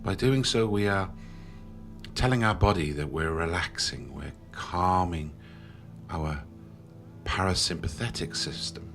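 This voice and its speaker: A man leading a guided meditation in a slow, soothing manner with long pauses. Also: sits low. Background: background music.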